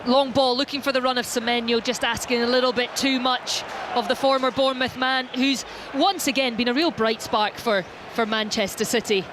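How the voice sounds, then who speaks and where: A woman doing live football play-by-play commentary, steady and descriptive. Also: a little high, moderate pace, few pauses. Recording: stadium crowd and noise behind.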